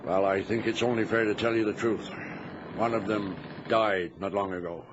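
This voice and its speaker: Steady, commanding sea captain of the 1800s, man acting, vintage radio drama, dull and lightly noisy recording. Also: fairly low pitch, normal speed, close to an everyday voice. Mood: reassuring. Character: steady, authoritative sea captain in 1807, dismissive of superstition.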